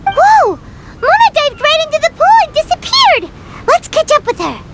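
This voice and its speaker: A woman voicing a tiny, childlike, excitable fairy companion in an anime-style game, squeaky and eager. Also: moderate pace, heavily acted. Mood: excited.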